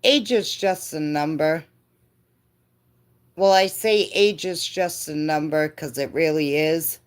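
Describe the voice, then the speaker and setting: A woman's voice: low voice, takes it slowly. A woman in her fifties chatting casually with live viewers, laughing often and answering comments. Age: 50s. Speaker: livestream host.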